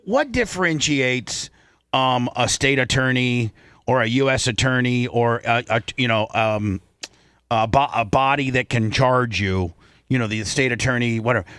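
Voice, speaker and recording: A man's voice: medium pitch, moderate pace, a bit bright, clear, resonant tone, no room sound, studio quality. An American man hosting a casual radio talk show, asking blunt, pressing questions.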